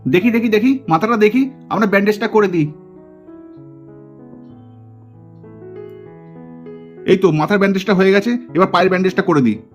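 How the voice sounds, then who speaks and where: A man's voice: sits high, very fast, lightly acted. A man voicing a flustered, guilty but caring young village uncle doll in a puppet cartoon, often repeating his words. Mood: caring, hurried. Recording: music under the voice.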